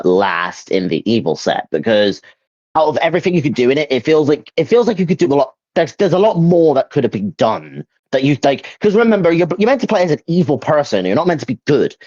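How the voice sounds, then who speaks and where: A man co-hosting a gaming discussion, giving casual opinions in a halting way as he searches for words. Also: mid-range voice, sing-song, darkish tone, dry recording, dull audio.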